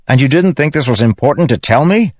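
A man's voice: medium pitch, expressive, quick pace, a little mellow, no echo, voice pushed up, close to an everyday voice. An exasperated young male warrior read by a man, brooding and terse. His inflection moves a lot, and the recording is close and dry. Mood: indignant.

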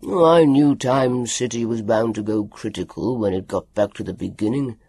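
A reader voicing a gruff, stern older male doctor who speaks in a curt growl. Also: mid-range voice, moderate pace, darkish tone, no echo, voice pushed down.

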